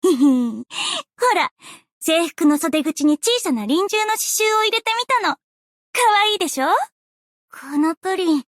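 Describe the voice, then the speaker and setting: A woman playing an earnest, bubbly, easily flustered young female idol in an anime game, with a cute, expressive, girlish delivery. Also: extremely high pitch, a little slow, no echo, clean studio sound. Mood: cheerful. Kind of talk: anime game character voice lines.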